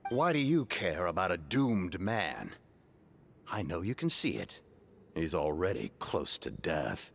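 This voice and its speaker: An actor playing a calmly ruthless soldier's spirit in a video game, deliberate and taunting. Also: male voice, low-pitched, creaky, husky, full character voice. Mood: cold, taunting. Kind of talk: dramatic video game acting.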